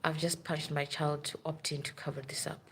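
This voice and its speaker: A woman's voice: sits low, flat delivery, fairly quick, a little hiss or hum. A woman who works as a nurse, telling a long story in a rambling, urgent way on a live stream. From Africa.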